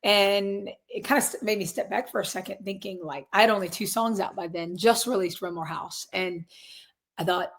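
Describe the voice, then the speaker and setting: A woman in her twenties with a raspy, hoarse voice, chatting casually as a guest musician from a closet at her grandparents' house. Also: medium pitch, moderate pace. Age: 20s. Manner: upbeat, laughs often, many fillers. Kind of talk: casual interview chat.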